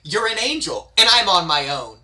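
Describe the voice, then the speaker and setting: A man's voice: high-pitched, very animated, moderate pace, pushed and bright, heavily acted. A man voicing a troubled, stubborn amnesiac stuck in purgatory in a dramatic script read. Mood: defiant.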